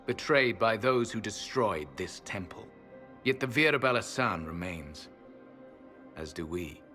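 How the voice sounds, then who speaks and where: Deep, forceful ancient elf guardian. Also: male voice, animated, a little slow, soft background music. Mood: grave, bitter. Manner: grave, terse, formal. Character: ancient, solemn, bitter male elf temple guardian. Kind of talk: dramatic fantasy game acting.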